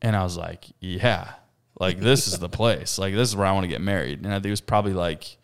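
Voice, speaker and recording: A man in his thirties, a podcast guest, chatting casually and loudly, rambling with plenty of fillers and frequent laughs. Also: low-pitched, fairly quick, smooth. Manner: loud, laughs often, rambling with many fillers. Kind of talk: casual chat.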